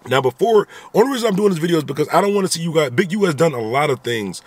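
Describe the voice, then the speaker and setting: A man's voice: on the high side, lively intonation, rapid-fire. A man in his thirties talking animatedly into a phone camera inside a car, giving his opinions in a vlog with repeated rhetorical asides. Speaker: vlogger. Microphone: phone camera at arm's length.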